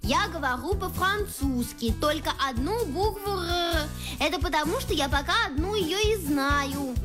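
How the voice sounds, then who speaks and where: A woman's voice: sits high, expressive, measured pace, music in the background. A woman voicing a stubborn, dreamy little girl who plays teacher, emphatic and bossy, with a burred R in a children's show. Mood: proud. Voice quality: burred French-style R.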